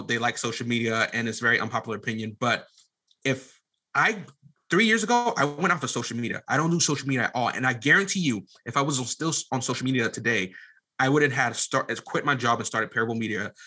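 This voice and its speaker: Bright-voiced man in casual interview talk. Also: mid-range voice, a little fast. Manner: earnest, informal, gives advice. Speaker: podcast guest, media company CEO.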